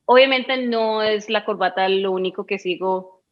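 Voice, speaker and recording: A woman's voice: mid-range voice, normal speed. Dull-sounding recording of a questioning woman. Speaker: podcast guest asking for advice. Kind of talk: casual conversation.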